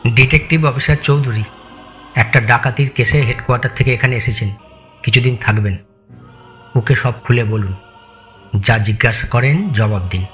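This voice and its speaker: A man playing a calm, practical police sergeant in an audio thriller, speaking in a measured, placating way. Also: medium pitch, soft background music, natural voice. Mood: matter-of-fact. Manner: measured, placating, matter-of-fact. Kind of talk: Bengali audio thriller acting.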